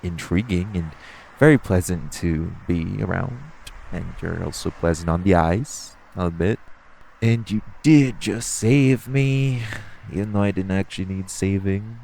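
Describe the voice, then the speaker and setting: A man playing a flirty, teasing, self-assured supervillain thief in an audio roleplay, speaking casually with halting, conversational pauses. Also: low-pitched, gravelly, darkish tone. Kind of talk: intimate second-person audio roleplay, conversational acting.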